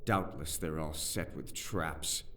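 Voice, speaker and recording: A man's voice: a bass voice, slow, a bit bright, exaggerated voice. An actor playing an eloquent, anxious young nobleman in a fantasy audio drama, with theatrical, archaic phrasing. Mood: urgent, resolute. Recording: music bed behind the voice.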